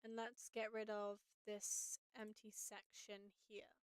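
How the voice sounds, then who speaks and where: A woman with a slightly raspy voice, casually walking viewers through audio editing on a live stream and thinking aloud as she works. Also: mid-range voice, completely flat delivery, slow, dry recording.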